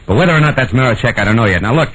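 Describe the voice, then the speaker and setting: An actor playing a tough, wisecracking American private detective in a 1940s style radio drama, brisk and sardonic. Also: male voice, medium pitch, expressive, a bit bright. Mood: urgent. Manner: brisk, sardonic, hard-boiled. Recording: dull, noisy old radio recording.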